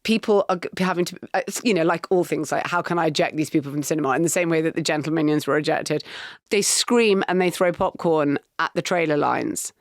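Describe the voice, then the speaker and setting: A middle-aged woman co-hosting a podcast, telling anecdotes and running through lists in a lively way. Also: low-pitched, brisk, dry recording, studio quality. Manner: lively storytelling, lists and asides. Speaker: podcast co-host. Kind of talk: casual chat.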